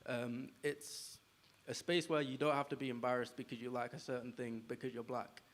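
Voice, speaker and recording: A young man with a mid-pitched voice talks frankly on a panel at a live event. He pauses often with "um" but keeps an open, honest tone. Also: steady tone. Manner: frank, hesitant, many "um"s. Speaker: panel speaker. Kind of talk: casual panel talk.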